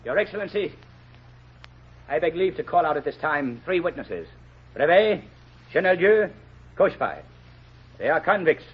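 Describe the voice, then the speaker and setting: A man's voice: a little high, big pitch swings, normal speed, long pauses, slightly rough, exaggerated voice. A man playing a severe, zealous prosecutor in an old radio drama, with formal, declamatory delivery. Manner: oratorical, declamatory. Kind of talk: 1930s radio drama acting. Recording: dull old radio recording.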